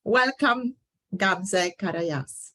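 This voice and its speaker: Lady host on a video call, mid-pitched voice, stumbles a bit into the intro. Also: lively intonation, pushed and bright. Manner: halting, apologetic restarts. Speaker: host, moderator.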